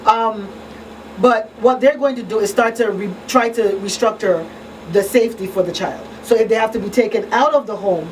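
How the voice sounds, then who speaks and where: A woman's voice: fairly high pitch, moderate pace. Woman host explaining, slightly distant mic. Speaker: radio show host and crisis counselor. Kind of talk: conversational radio talk, explaining. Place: small radio studio. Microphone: studio broadcast mic on boom arm. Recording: distant pickup, steady room noise.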